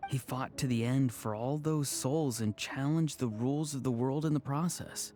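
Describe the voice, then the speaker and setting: An actress playing a grave, reflective character in a video game drama. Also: female voice, very deep voice, normal speed, mellow, faint music behind.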